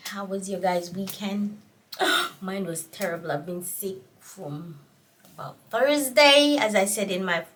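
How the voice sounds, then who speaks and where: A middle-aged woman with a Jamaican accent, vlogging casually while congested from a cold, talking in a slow, tired, rambling way. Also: medium pitch, fairly bright tone, recorded from a distance, slight background noise. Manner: slow, tired, rambling talk with many fillers. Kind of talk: casual vlog chat.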